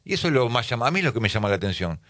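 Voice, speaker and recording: A man's voice: mid-range voice, big pitch swings, rapid-fire, a bit bright, no room sound, clean studio sound. A man hosting a casual football talk panel, asking his guests questions and thinking aloud. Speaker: host.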